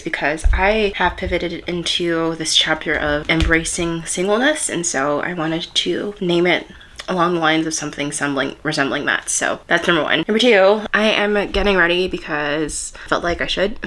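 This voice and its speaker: A woman in her late twenties chatting candidly while doing her makeup, slightly hoarse and congested from a cold, in a soft, rambling way. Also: lowish voice, a little hiss or hum. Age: 20s. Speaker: vlogger. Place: at home.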